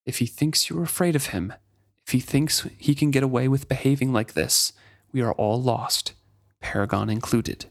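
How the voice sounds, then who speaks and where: A man acts out a fierce ship captain from a fantasy novel, exasperated with his stubborn crew. He speaks in an emphatic, commanding way and curses as he lays down the law. His pitch is middling for a man. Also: moderate pace, vocal fry. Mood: resigned, firm. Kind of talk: dramatic reading from a fantasy novel.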